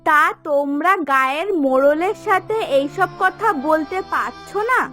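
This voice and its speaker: A woman's voice: high voice, measured pace, bright, forceful tone, soft background music. A woman with a Bengali accent playing a concerned, homely village housewife in a folk-tale drama. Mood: worried.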